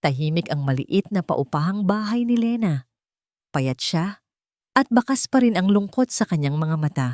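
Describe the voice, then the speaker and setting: A woman's voice: on the low side, lively intonation, moderate pace, darkish tone, dry recording, studio quality. A woman narrator reading a scripted story in a dramatic style.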